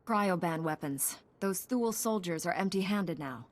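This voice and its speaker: A woman's voice: lowish voice, lively intonation, moderate pace, in a large hall, natural voice. An actress playing a principled, composed woman knight in a video game, speaking earnestly.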